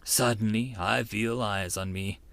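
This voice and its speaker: A man's voice: on the low side, fairly even intonation, a little slow, creaky, a bit bright, dry recording. A man reading a story as an audiobook narrator, in a theatrical first-person style. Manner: theatrical, acts out dialogue and asides. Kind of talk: dramatized first-person narration of a visual novel.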